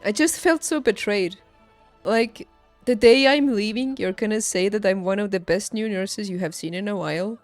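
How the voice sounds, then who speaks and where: A young adult woman chatting casually on a livestream, telling personal stories in an emphatic, animated and informal way. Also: medium pitch, moderate pace, dry recording. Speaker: livestreamer, former nurse. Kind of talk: casual stream chat, personal storytelling. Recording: background music behind close dry voice. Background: music.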